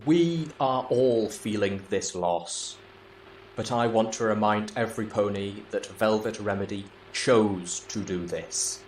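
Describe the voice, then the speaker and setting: A man voicing a stern but gentle female pony leader, speaking low and soft in a measured, solemn way. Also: echoey room, a little hiss or hum, low-quality recording. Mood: solemn appeal.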